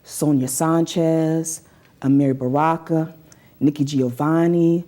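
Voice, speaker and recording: A woman with a low-pitched, relaxed and mellow voice talks as a guest in an interview. She tells her own story conversationally and earnestly. She leans on key phrases by repeating them. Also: slow pace.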